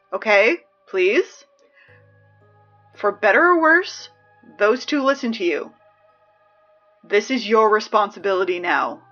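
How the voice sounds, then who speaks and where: A woman's voice: medium pitch, sharp tone, dull audio, heavily acted. A woman playing a flustered, exasperated teenage school announcer in an audio drama, talking in a hesitant, pleading, rambling way. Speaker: morning announcements host.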